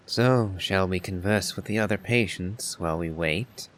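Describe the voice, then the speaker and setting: A man giving casual game commentary as a streamer, in a musing, wry and measured way. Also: deep voice, a bit bright, a little hiss or hum. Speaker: gaming streamer.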